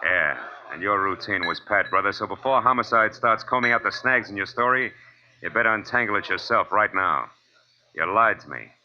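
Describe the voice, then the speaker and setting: Deep wisecracking old-time radio detective, tough and clipped, dull vintage sound w music under it. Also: male voice, normal speed, pushed and bright. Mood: confrontational. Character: hard-boiled, wisecracking male private detective. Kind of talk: 1940s radio detective acting, first-person narration.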